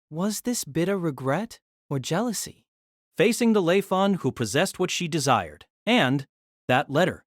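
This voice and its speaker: A narrator reading a novel aloud in an expressive storytelling manner. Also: medium pitch, no echo, studio quality.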